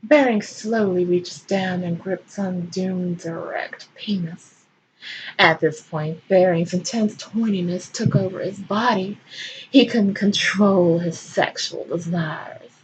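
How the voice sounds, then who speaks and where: A woman's voice: lowish voice, slow. A woman hosting a fan fiction show, reading aloud theatrically and commenting casually with amusement. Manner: theatrical, breaking into amused asides. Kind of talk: dramatic storytelling reading with casual asides. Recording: muffled, noisy, distant microphone.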